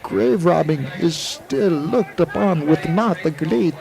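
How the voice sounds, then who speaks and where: An actor playing a formal, secretive, eccentric physics professor with courtly, pedantic phrasing in a 1980s audio drama. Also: male voice, a little high, takes it slowly, creaky, over music. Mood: lecturing, pleased. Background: racetrack crowd.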